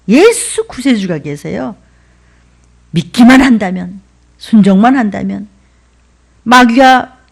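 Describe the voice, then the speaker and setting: A middle-aged woman pastor with a Seoul accent, preaching a sermon in an emphatic, rhythmic way, dropping to whispers and rising to shouts. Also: medium pitch, creaky. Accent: Seoul dialect.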